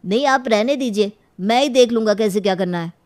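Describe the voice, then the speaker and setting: A woman's voice: on the high side, a little fast, darkish tone, natural voice. A woman voicing a dutiful, stubborn brother in a family moral cartoon, speaking in an insistent, earnest way. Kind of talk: Hindi moral cartoon story acting.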